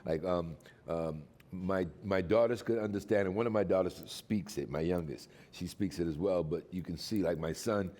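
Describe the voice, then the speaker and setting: Brief: middle-aged man from Cuba, deep low voice, lively storytelling panelist with a Cuban accent. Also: normal speed, few pauses. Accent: Boston Cuban. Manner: animated storyteller, playful. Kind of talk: casual panel chat.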